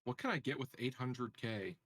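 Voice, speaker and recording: A man playing an online tabletop game, chatting casually in a halting, questioning way. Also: medium pitch, expressive, fairly bright tone, dry recording.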